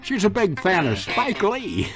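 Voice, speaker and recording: Bumbling, swooping Southern country bumpkin. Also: male voice, high voice, wildly swooping pitch, measured pace, exaggerated voice. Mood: folksy, rambling. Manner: stammering, chuckling, overeager, rambling. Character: bumbling, naive, folksy Mississippi country man seeking a detective. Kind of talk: campy radio comedy acting. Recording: music behind the voice.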